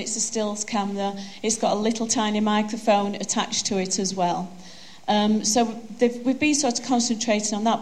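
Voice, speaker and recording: A woman's voice: mid-range voice, a little mellow. Broad Yorkshire woman presenting. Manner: chatty, self-deprecating, joking. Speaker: conference presenter. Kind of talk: conference presentation. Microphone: handheld microphone.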